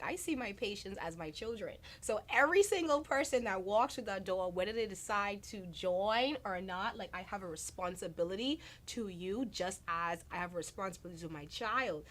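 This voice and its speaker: A woman's voice: mid-range voice, fairly bright tone. A woman from the Bahamas speaking as a doctor and interview guest, conversational and earnest, repeating words for emphasis.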